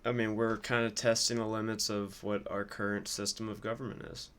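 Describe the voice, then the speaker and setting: A man giving informal, off-the-cuff political commentary in a casual, musing way, like a streamer thinking out loud. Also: on the low side, little pitch movement, brisk, clean tone.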